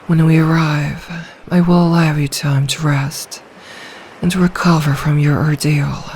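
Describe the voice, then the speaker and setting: A woman voicing a lonely, ancient female sea dragon in an intimate ASMR roleplay, soft, regal and possessive, doting with quiet menace. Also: low voice, a little hiss or hum.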